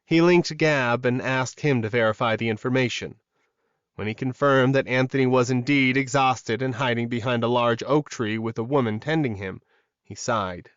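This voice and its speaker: A man's voice: mid-range voice, moderate pace, fairly bright tone, smooth, no room sound. A man reading scripted dramatic fiction as an audiobook narrator, recorded close in a dry space with a slightly dull sound.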